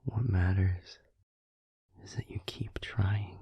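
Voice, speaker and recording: A man playing a gentle, supportive vampire boyfriend in an intimate ASMR roleplay, in a breathy, soothing whisper with pauses. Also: low voice, steady tone, dry recording, dull audio, full character voice. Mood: tender, reassuring. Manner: soft, soothing, hesitant pauses.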